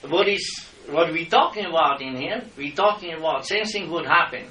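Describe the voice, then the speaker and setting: Middle-aged Czech-accented man, impassioned and lecturing, slightly high, recorded far off in an echoey room. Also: normal speed, pushed and bright. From Czech Republic. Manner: impassioned, lecturing, non-native grammar. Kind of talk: heated argument with officials. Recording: echoey room, mic at a distance.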